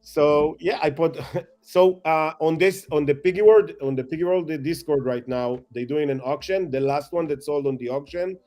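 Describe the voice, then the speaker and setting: A man chatting as a guest, excitable and rambling, self-mocking, with non-native English phrasing. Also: fairly high pitch, moderate pace. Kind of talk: casual chat.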